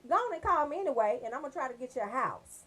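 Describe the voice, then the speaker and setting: A woman's voice: high-pitched, big pitch swings, rapid-fire, pushed and bright, breathy edge. An American woman hosting a casual radio show, chatty and slangy, joking as she talks straight to her listeners. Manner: slangy, joking, bantering, addresses listeners directly.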